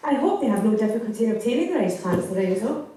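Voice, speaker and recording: A woman playing a gentle, hopeful young heroine in a stage pantomime, earnest and wistful. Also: on the high side, big pitch swings, fast talker. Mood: curious, earnest. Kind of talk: scripted pantomime stage acting. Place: theatre stage. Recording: distant echoey hall, faint noise.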